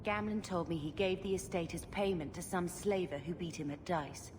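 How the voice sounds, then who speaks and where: An actress playing an earnest, concerned young woman in a fantasy game, gentle and questioning. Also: fairly low pitch, moderate pace, big-room sound, noisy background, close to an everyday voice. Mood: troubled. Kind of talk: fantasy video game acting.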